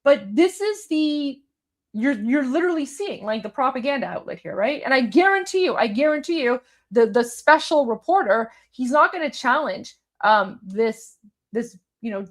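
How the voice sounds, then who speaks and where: A woman with a Canadian, Toronto-style accent hosts a live commentary stream. She pitches her voice a little high and talks in an animated way, repeating herself and using lots of fillers. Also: normal speed. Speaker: host. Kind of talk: live commentary on a news clip.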